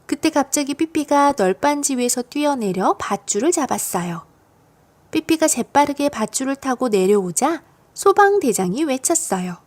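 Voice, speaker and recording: High-pitched, lively woman narrating a storybook. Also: moderate pace. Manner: expressive, storytelling for young children. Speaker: audiobook narrator of children's stories.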